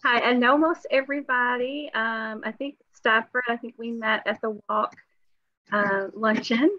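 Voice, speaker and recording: Woman from long-term care on a video call; bright-ish pitch, chatty, dull dry sound. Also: steady tone, a little slow, no echo. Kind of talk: casual webinar introduction.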